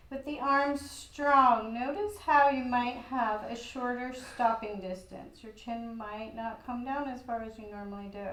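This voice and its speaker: A woman with a croaky voice guiding a gentle yoga class in an old studio, cueing calmly with light laughs. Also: on the high side, roomy sound, low-quality recording. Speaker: yoga instructor.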